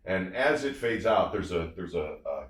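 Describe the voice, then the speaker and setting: A man's voice: sits low, expressive, normal speed. Guy geeking out about audio gear, emphatic, voice swings up and down a lot, echoey room. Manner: emphatic, effusive, repeats phrases. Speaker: audio reviewer and show host. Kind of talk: casual enthusiastic product discussion. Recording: roomy, somewhat distant from the mic.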